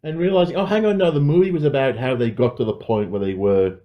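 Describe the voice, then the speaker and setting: A man's voice: mid-range voice, fast. A man co-hosting a podcast discussion about films and games, heard on slightly lo-fi audio. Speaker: podcast co-host. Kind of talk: film and game discussion.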